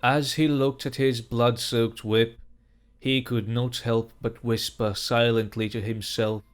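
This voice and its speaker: A man reading a dark fantasy story aloud as narrator, slow and measured. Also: medium pitch, level tone, clean tone.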